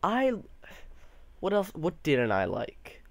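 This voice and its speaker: A man's voice: on the high side, huge pitch swings, slow, vocal fry, no echo. A young man giving a casual, rambling movie review, sounding a bit nasal from allergies, with many restarts.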